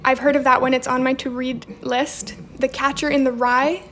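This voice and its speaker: A woman's voice: high voice, level tone, moderate pace, fairly bright tone, a little hiss or hum. A young woman with a slight Romanian accent chats casually while she hosts a journaling livestream. She reads viewer comments aloud and answers them. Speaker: livestream host. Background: faint background music.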